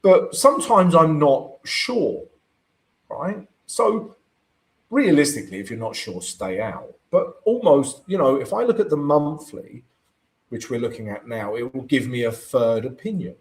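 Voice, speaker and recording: A man with a croaky voice talks through his charts on a live trading stream. His pitch swings up and down as he goes, and he keeps checking in with his viewers. He reads out chat comments and answers them. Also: very animated, a little slow, rough recording. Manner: chatty, keeps asking "right?" to the audience.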